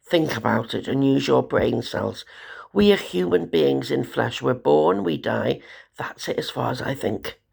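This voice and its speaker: A woman, hoarse from a cold, talking in a despairing, rambling way about her troubles. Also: rumbling low, expressive, measured pace, darkish tone. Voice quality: gravelly. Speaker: vlogger. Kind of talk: rambling personal monologue.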